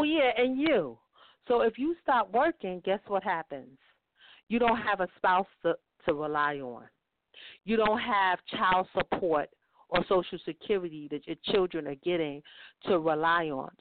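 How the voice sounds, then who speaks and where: A woman's voice: mid-range voice, dry recording. A woman giving financial advice on a talk show, stressing her points by repeating key phrases. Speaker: guest financial speaker. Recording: tinny phone-line sound.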